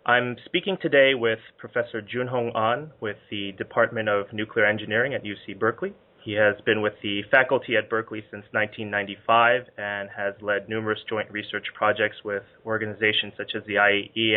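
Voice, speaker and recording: A man's voice: fairly low pitch, little pitch movement, a little fast. A man hosting a podcast, reading polite, formal introductions and interview questions. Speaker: podcast host. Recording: tinny phone-like line.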